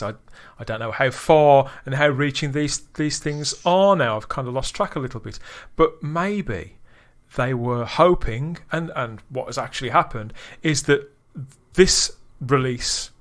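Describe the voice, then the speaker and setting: A man hosting a podcast discussion, talking in long rambling sentences full of restarts and getting louder when he gets excited. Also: mid-range voice. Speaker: podcast host.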